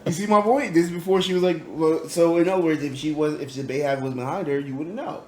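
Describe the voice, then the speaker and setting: A man co-hosting a podcast in casual chat, animated and quick to laugh, his voice rising when he teases. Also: fairly high pitch, a little hiss or hum. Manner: animated, laughs often, raises voice when teasing. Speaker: podcast co-host. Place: studio.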